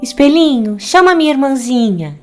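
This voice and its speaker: A kind, confident little cartoon witch, chirpy and high, with a Brazilian accent. She speaks playfully, as if casting happy spells, with light music behind her. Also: sing-song, moderate pace. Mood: cheerful. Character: cheerful young witch girl, kind and confident. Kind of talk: children's cartoon dubbing.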